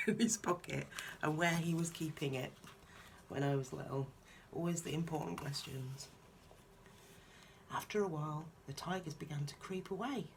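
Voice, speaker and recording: A woman reading a children's picture book aloud in a soft-spoken, musing way, with informal, chatty asides. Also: on the low side, creaky, a little hiss or hum.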